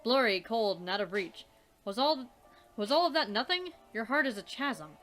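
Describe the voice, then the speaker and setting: Woman let's-play host, fairly high voice, reads narration and rants with casual swearing over faint music. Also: expressive, normal speed, a bit bright, rough recording. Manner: swears freely, laughs, exasperated commentary.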